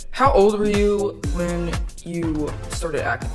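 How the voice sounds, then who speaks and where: Slangy woman co-host, low voice. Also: slow pace, music in the background. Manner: slangy, jokey, swears casually. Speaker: co-host interviewer. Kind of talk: casual interview chat.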